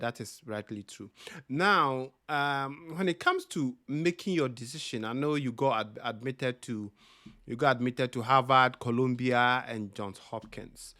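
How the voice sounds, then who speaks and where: Dry-sounding interview host, mid-pitched man. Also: pushed and bright, no echo. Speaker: podcast host. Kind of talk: interview conversation.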